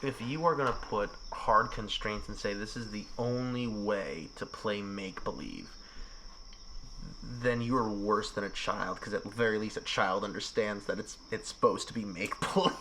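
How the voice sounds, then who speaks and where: A man's voice: on the low side, moderate pace, bright, forceful tone, a little hiss or hum, low-quality recording. A man talking in a casual podcast chat, ranting with emphasis and frequent swearing. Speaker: podcast guest.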